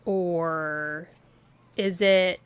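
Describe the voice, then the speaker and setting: A woman's voice: fairly low pitch, steady tone, very slow, clear, resonant tone, no room sound. A woman livestreamer giving casual, off-the-cuff commentary while playing a video game.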